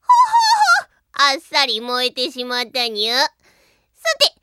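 A woman's voice: on the high side, unhurried, pushed and bright, clear, resonant tone, no echo, clean studio sound. A woman voicing a cute, bossy alien cat-girl princess in an anime audio drama, self-important and ending her sentences with a cat-like nya. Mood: smug, scornful. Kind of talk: anime audio drama acting, reading a diary aloud.

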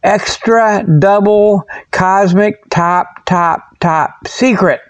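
A man with a somewhat high-pitched voice rambles through a humorous monologue as the host of his own show. His delivery is casual, folksy and amused by his own jokes. The sound is very dry, without any echo. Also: extremely slow, clean tone. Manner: folksy, jokey, self-amused with frequent chuckling. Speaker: host of a comedic paranormal report show. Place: outdoors behind his garage.

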